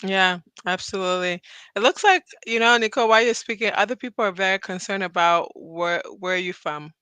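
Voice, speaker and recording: A woman from Cameroon hosts a live audio chat in a loose, rambling way and laughs easily. Her voice is bright and smooth, and the sound is close and dry. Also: mid-range voice, fairly quick, dry recording. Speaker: host.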